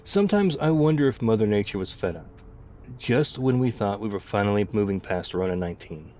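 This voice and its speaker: An actor playing a tough, weary, loyal zombie apocalypse survivor in an intimate ASMR roleplay, speaking conversationally. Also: male voice, lowish voice, lively intonation, a little fast, dark tone, a little hiss or hum, close to an everyday voice. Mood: weary, reflective.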